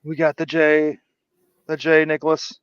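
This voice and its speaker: Joking host, dry lo-fi sound. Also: male voice, on the high side, level tone, unhurried, clear, resonant tone, dry recording. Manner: joking, laughing, playful teasing. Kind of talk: casual livestream chat. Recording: dry, dull lo-fi livestream audio.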